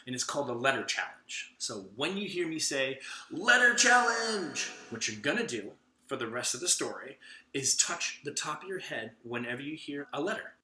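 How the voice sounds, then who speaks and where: A man's voice: mid-range voice, very animated, moderate pace, sharp tone. A man playfully and emphatically teaching a clapping activity to children, drawing out the words he demonstrates. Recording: echoey room.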